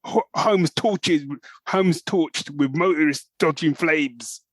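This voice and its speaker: A man's voice: fairly high pitch, slow, no room sound. A middle-aged man, co-hosting a podcast in casual, matey, jokey banter. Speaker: podcast co-host.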